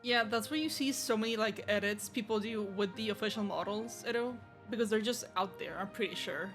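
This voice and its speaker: A woman's voice: medium pitch, fairly quick, fairly bright tone, soft background music. Offhand woman game streamer, chatty. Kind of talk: casual livestream commentary, reading and reacting to chat and game.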